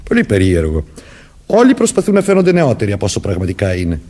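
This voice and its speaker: An actor playing a suspicious police sergeant in a Greek radio play, questioning with probing insistence and a husky voice. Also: male voice, lowish voice, huge pitch swings, darkish tone. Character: suspicious, persistent male police sergeant interrogating suspects. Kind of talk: Greek radio theatre acting.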